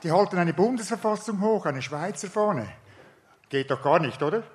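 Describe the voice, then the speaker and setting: A man's voice: high voice, animated, normal speed. A man from Switzerland with a Swiss German accent, giving a rousing speech to a live audience and asking the crowd questions. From Switzerland, canton Schwyz. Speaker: activist group speaker.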